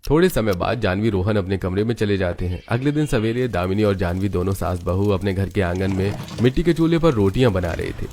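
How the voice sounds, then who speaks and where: A man's voice: low voice, animated, fairly quick, few pauses, darkish tone. A man reading scripted narration for a moral story.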